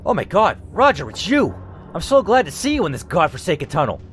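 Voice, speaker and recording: A man's voice: on the high side, wildly swooping pitch, fairly bright tone, slight background noise, voice pushed up. A man voicing Dennis, a worn-out injured worker. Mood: frightened. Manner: panting, fretful, quick to give up. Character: injured exhausted male worker, scared and weary.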